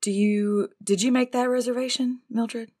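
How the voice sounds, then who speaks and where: A woman voicing a concerned, hesitant character, polite and halting, in improvised tabletop roleplay. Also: a little high, fairly even intonation, mellow, pitched above the performer's own voice, lightly acted. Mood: hesitant, determined.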